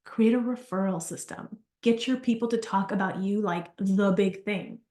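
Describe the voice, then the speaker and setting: A woman's voice: medium pitch, moderate pace, reverberant. A woman giving a live business workshop, telling chatty stories with lots of asides. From Argentina. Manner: animated, chatty, storytelling with asides. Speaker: business coach.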